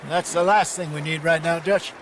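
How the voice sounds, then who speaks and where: A man's voice: fairly high pitch, steady tone, moderate pace, background noise, lightly acted. An actor playing a concerned, hesitant older man of an outlaw camp in a western game. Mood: worried. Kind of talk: cinematic western game acting.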